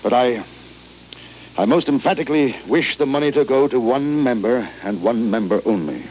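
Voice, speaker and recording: A man's voice: mid-range voice, moderate pace, natural voice. An actor playing an ailing, embittered old businessman, formal, curt and emphatic in a radio drama. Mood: stern, formal. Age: older. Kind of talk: 1950s radio drama acting. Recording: muffled, noisy old radio recording.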